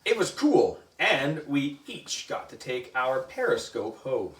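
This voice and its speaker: A man reading a children's story aloud in an expressive, deliberate way, acting out the dialogue. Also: medium pitch. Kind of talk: animated read-aloud narration. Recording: roomy echo, distant microphone.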